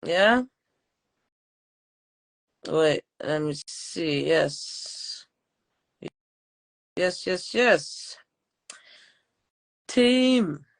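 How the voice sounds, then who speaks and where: A woman's voice: fairly low pitch, expressive, very slow, long pauses. A woman from Portugal and Switzerland hosting a casual live interview, informal and given to repeating her words. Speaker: podcast host.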